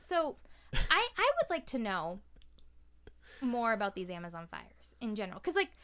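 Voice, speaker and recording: A woman with a fairly high, smooth and clear voice talks casually as a podcast guest. She gives her views informally and swears freely. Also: sing-song, normal speed, a bit bright. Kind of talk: casual opinionated chat.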